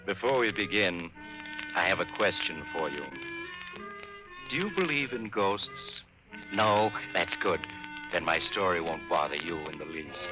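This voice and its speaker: A man's voice: low-pitched, lively intonation, unhurried, music in the background. An actor playing a sly, mysterious traveler, a man hosting spooky tales in a suave, teasing 1950s radio manner. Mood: teasing, macabre. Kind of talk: 1950s radio horror host narration. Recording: dull 1950s radio recording.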